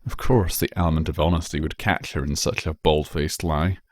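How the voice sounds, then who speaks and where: A man's voice: rumbling low, steady tone, fairly quick, dry recording, studio quality. A man reading scripted fan fiction as a storyteller.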